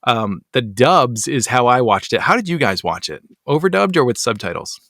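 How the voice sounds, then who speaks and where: A man hosting a podcast, talking casually with lots of ums and quick asides. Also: medium pitch, lively intonation, sharp tone, no room sound, clean studio sound. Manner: chatty, many ums, rising asides. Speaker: podcast host. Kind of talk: casual chat.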